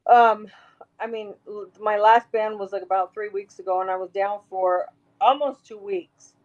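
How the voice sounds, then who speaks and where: A woman with a raspy voice, talking emphatically as an interview guest, rambling and restarting her sentences. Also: medium pitch, dull audio. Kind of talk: casual interview talk.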